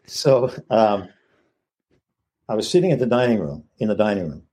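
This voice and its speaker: A man's voice: fairly low pitch, very animated, moderate pace, warm tone, breathy edge. A man telling an anecdote, leaning on repeated fillers as he builds it up. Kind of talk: anecdote in a clip.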